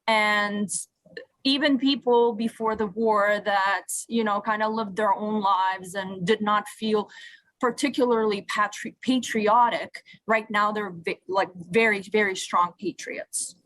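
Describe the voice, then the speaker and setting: A woman from Ukraine, a native Russian speaker, speaking as an interview guest in a halting way, searching for words. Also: medium pitch, steady tone, fairly bright tone, no echo, poor audio. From Odessa, Ukraine; moved to Canada at eleven. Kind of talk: radio interview, personal account.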